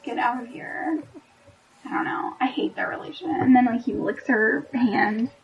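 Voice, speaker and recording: A young woman co-hosts a casual podcast in a fairly high voice. She talks animatedly and scatters filler words through her sentences. The sound is dull and lo-fi. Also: normal speed, far from the mic. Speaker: podcast co-host. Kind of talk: casual chat.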